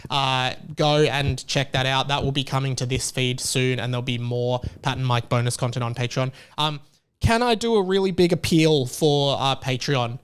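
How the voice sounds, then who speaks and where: A man's voice: mid-range voice, fairly even intonation, normal speed, clear, resonant tone. A man hosting a podcast, chatting casually and rambling with lots of fillers and restarts. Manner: rambling, self-interrupting, many fillers. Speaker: podcast host.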